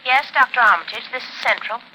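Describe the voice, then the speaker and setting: Country telephone operator female actor, matter-of-fact, raised pitch, noisy vintage radio drama. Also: a little fast, natural voice. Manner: folksy. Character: small-town woman telephone operator, matter-of-fact and gossipy. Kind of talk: 1940s radio drama acting.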